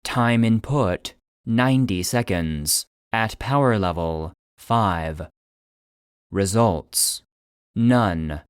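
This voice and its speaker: A man giving scripted narration in a measured, clinical manner, like a report being read out. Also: fairly low pitch, clean tone, no echo, studio quality. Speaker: narrator and producer.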